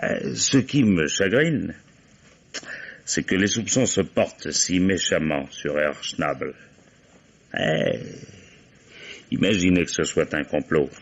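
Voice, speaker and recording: An actor playing a shrewd, mock-courteous, methodical German police commissioner interrogating suspects in a vintage French radio mystery. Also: male voice, sits low, slow, gravelly, long pauses, slightly rough, heavily acted. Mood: ironic, accusing. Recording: dull old radio-play recording.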